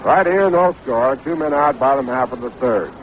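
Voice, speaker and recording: A man's voice: mid-range voice, normal speed. American man calling baseball on noisy old radio. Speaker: baseball play-by-play radio announcer. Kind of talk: 1960s radio sports commentary. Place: stadium broadcast booth. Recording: noisy 1960s AM radio broadcast.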